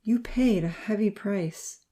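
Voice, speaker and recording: A woman voicing a loyal, compassionate male friend with a gentle, earnest and firm manner. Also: medium pitch, slow pace, close to an everyday voice. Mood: loving.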